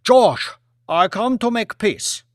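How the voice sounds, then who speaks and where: A male narrator acts out a self-important, scheming Chinese premier in an audiobook story. The voice is pushed up high and swings widely, with mocking, pompous emphasis in a dry recording. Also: lively intonation, takes it slowly, no room sound. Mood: imperious, demanding.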